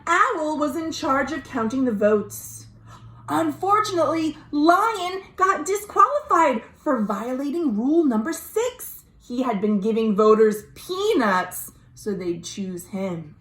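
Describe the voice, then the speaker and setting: A woman librarian reading a story aloud to children in an expressive way, pausing to explain things simply. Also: high-pitched. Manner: expressive, addressing young listeners directly. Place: indoors at home. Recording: roomy, echoey home recording.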